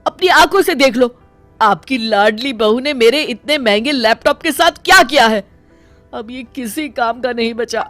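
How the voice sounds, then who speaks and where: A woman voicing an exasperated young husband who complains loudly in an animated story. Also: fairly high pitch, moderate pace, no echo, faint music behind, lightly acted. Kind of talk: animated moral story dialogue.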